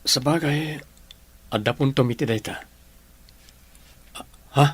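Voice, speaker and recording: Guy playing young man in love, guarded and earnest, husky mid voice, radio drama. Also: mid-range voice, a little slow, fairly bright tone. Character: wounded, protective young man in love, wary of his parents.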